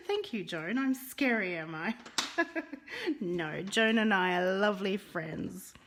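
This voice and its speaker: A woman's voice: medium pitch, animated, slow pace, fairly bright tone, a little hiss or hum. Chatty woman with a Russian accent. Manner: chatty, greets viewers, rolls her R's. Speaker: card-making teacher. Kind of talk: live-streamed craft lesson.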